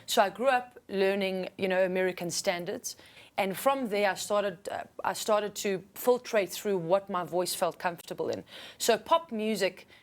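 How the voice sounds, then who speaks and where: Female musician guest, South African accent, low register, mid pitch for a woman, explaining things earnestly in relaxed interview talk. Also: moderate pace.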